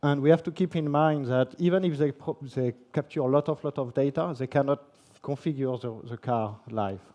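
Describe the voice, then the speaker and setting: A man from France with a French accent giving a technical conference presentation, halting often with fillers and restarts. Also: mid-range voice, mellow. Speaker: conference speaker. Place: conference hall.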